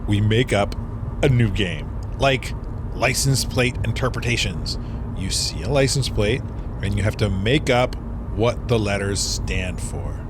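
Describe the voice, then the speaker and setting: A man playing an excitable, goofy road-trip buddy in an audio drama, full of silly ideas. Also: on the low side, a little slow, background noise, close to an everyday voice. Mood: excited. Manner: eager, playful, easily excited.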